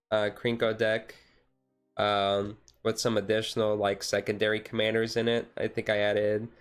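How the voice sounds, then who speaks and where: A man streamer chatting casually in a relaxed, halting, rambling way, thinking aloud as he goes. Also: lowish voice, smooth. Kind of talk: relaxed casual chat about a card game, ASMR-style stream. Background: music playing softly behind the voice.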